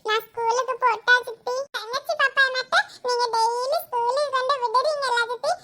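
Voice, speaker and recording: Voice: extremely high pitch, steady tone, a little fast, pushed and bright. A performer doing a small, whiny stepdaughter. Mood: begging. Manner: whiny, begging, drawn out. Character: hungry pleading little stepdaughter.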